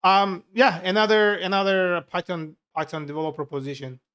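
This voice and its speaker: A man's voice: high voice, steady tone, moderate pace, fairly bright tone, clean tone. Turkish-accented man coding live, swearing. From Turkey. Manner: frank and profane, talks to chat while coding. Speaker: software developer streaming live coding. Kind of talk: casual live coding stream chat.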